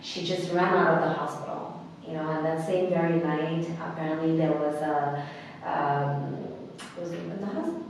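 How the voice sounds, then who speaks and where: A woman in her thirties from Bangladesh, telling her life story as an interview guest in an earnest, halting way with many restarts. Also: sits low, fairly even intonation, slight background noise. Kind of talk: personal storytelling in conversation. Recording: echoey hall, mic at a distance.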